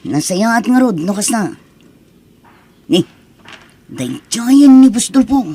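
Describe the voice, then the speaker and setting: A woman's voice: fairly high pitch, sing-song, slow pace, dark tone, breathy edge, no echo, heavily acted. A woman acting a confident male friend who recruits and tests, in a persuasive radio-style drama manner. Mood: businesslike.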